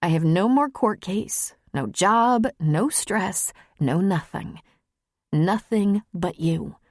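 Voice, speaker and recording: A woman's voice: mid-range voice, takes it slowly, darkish tone, no echo, clean studio sound. A woman voicing an earnest, openly emotional adult woman lawyer in an audiobook. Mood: urgent, pleading.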